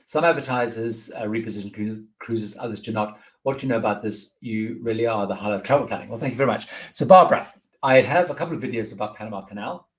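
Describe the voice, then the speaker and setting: Male livestream host, low-ish voice, relaxed rambling delivery with lots of fillers, reads viewer questions and answers them off the cuff. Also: fast talker. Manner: rambling, many fillers and restarts, friendly advice. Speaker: host of a live cruise Q&A.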